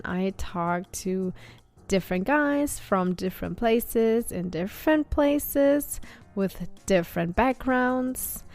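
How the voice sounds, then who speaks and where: A woman hosting a podcast, chatting in a relaxed way with plenty of fillers. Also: medium pitch. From Germany. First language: German. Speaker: podcast host. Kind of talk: casual podcast interview. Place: home in Texas, on a call.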